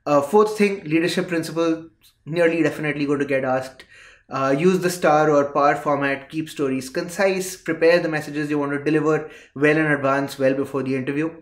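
A young man presenting interview advice in an explainer delivered to camera. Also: a little high. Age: young adult. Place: indoor room. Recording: roomy echo.

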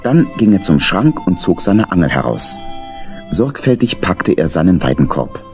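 A man's voice: low voice, big pitch swings, normal speed, darkish tone, faint music behind. A man narrating a children's audio play as a scripted storyteller.

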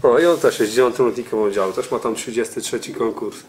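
A man's voice: mid-range voice, fast, background noise. A man in his thirties talking casually and choppily as a pigeon breeder, often tagging his phrases with a question. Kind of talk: casual talk presenting birds. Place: indoor room at a table. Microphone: camera mic a few steps away. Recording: roomy echo, birds cooing.

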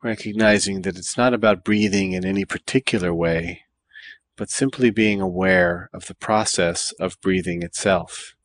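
Meditation guide: male, low register, calm and deliberate, leaving space between phrases. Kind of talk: guided body scan meditation.

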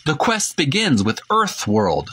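A man hosting a casual narrated show-and-tell, partly reading aloud, in a halting way with repeats and self-corrections. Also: on the high side, wildly swooping pitch, bright, forceful tone, slightly rough. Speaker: video host.

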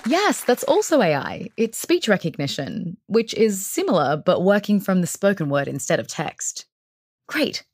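A woman's voice: mid-range voice, sing-song, moderate pace, a little mellow, breathy edge, dry recording. A woman presenting theatrically as a game show host.

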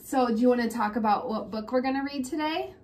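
A woman's voice: fairly high pitch, quick pace, darkish tone. A woman in her thirties hosting an interactive read-aloud, explaining simply and asking a child leading questions. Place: small room at home, indoors. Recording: clear background noise, home camera mic.

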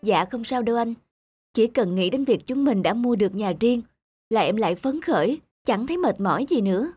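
A woman voicing a gentle, optimistic young cartoon wife, patient, content and reassuring in manner. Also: fairly high pitch, animated, moderate pace, breathy edge, soft background music. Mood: cheerful. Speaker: voice actor. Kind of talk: cartoon dialogue dub.

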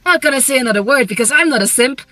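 A cocky young cartoon hedgehog hero, played by a woman, talks in a high, bright voice. He is casual and slangy, rambling defensively as faint music plays behind him. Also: expressive, very fast, no room sound. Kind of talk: improvised comedic fandub.

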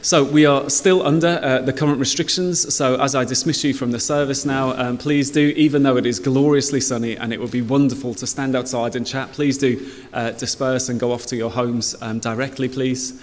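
Man, 30s, informal church host, middle pitch, echoey hall. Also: steady tone, a little fast, few pauses. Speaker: church leader. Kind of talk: leading prayers and dismissal. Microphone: stand mic. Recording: long hall reverb, faint noise.

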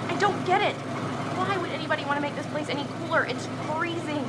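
Horror game role: frightened young American woman, played by an actress, piercingly high, emotional, heavy noise behind. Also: fast, natural voice. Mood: uneasy. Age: young adult. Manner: agitated, emotional. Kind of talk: horror video game voice acting. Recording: clear loud background noise.

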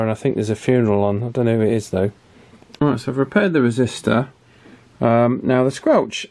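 A man's voice: on the low side, a little mellow. A middle-aged man giving an informal hands-on repair commentary, hesitant and thinking aloud as he goes. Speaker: radio repair technician and presenter. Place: small indoor workshop, at the bench.

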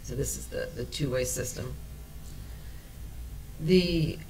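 A woman's voice: very deep voice, slow, noisy background. A woman in her sixties giving a conference presentation at a podium in a hotel meeting room, talking conversationally with frequent fillers and restarts. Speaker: transit project presenter. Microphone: podium microphone.

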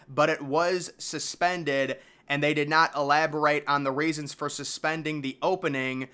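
A man's voice: on the high side, pushed and bright. A man hosting an informal video, mixing documentary-style narration with casual, slangy commentary.

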